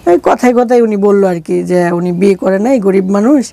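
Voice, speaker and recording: A middle-aged Bangladeshi woman recounts her own life as an interview guest. Her voice is dark and mellow, at an ordinary pitch, recorded very dry. She talks colloquially and slips into quoted dialogue as she goes. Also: brisk, vocal fry, no room sound. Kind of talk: conversational personal storytelling.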